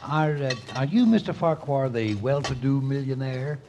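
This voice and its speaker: An actor playing a nosy, hesitant waiter who stammers a little in a 1950s radio comedy. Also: male voice, medium pitch, moderate pace, muffled recording, close to an everyday voice. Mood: curious, deferential. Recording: noisy 1950 radio broadcast.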